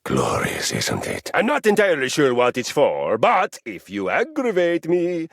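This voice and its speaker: An actor voicing a boastful, violence-loving male Viking exercise machine in a video game, pompous and quick to threaten a fight. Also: on the high side, wildly swooping pitch, dry recording, clean studio sound, full character voice.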